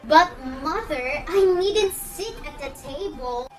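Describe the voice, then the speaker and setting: A very high-pitched young daughter played by a teenage girl in an amateur stage play. She is impulsive, flustered and apologetic, with music behind her voice. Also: animated, slow pace. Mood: pleading. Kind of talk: amateur one-act play acting.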